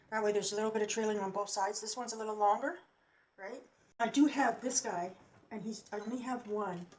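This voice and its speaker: A soft-spoken woman with a quiet voice, chatting casually through a home crafting tutorial as a vlogger, recorded at home on her computer's built-in mic. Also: medium pitch, a little hiss or hum. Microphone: computer's built-in mic, no external mic for voice-over.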